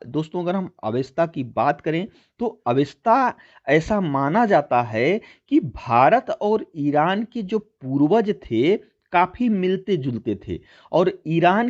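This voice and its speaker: High-pitched man teaching, lively intonation. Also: highs cut off. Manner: instructional, addresses listeners as friends, repeats key points. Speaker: teacher hosting a history quiz channel. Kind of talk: exam-prep lesson, explaining questions and answers.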